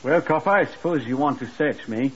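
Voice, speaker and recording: An actor playing a bitter, sneering young murderer who was dismissed as dim, in a mocking and defiant 1950s radio crime drama style. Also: male voice, mid-range voice, brisk, husky, close to an everyday voice. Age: 20s. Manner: mocking, defiant, breaking into chuckles. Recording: muffled, noisy 1950s radio recording.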